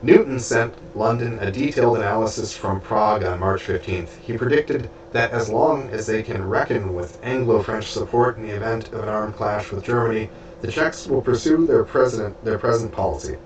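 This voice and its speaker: A man reading a book aloud with spoken asides, stuffy and losing his voice, halting and stumbling over names. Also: lowish voice. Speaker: audiobook reader and streamer. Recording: roomy, distant from mic, faint background hiss.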